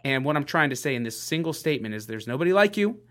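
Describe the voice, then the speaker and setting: A man in his late thirties co-hosting a podcast, talking in an earnest, halting way with lots of fillers and restarts. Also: fairly high pitch, big pitch swings, fairly bright tone. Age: 30s. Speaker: podcast co-host. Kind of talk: casual motivational podcast chat.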